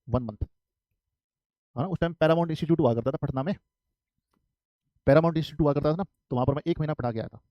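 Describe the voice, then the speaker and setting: Fast-talking male math tutor, dry sound. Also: fairly high pitch, darkish tone, dry recording. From Haryana, India. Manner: repetitive, asks and answers his own questions. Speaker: math teacher. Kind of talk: live online exam-prep math class.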